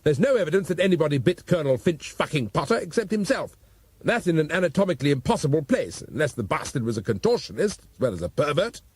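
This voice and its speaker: A man voicing a loud, exasperated, foul-mouthed police commissioner. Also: a little high, big pitch swings, fast, slightly rough, pitched above the performer's own voice.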